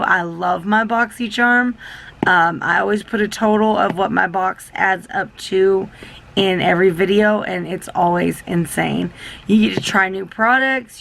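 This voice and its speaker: Gravelly-voiced woman vlogger, slightly sick. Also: medium pitch, fairly bright tone, a little hiss or hum. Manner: rambling, self-interrupting, enthusiastic. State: a little sick, losing her voice. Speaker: beauty vlogger. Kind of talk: chatty product unboxing.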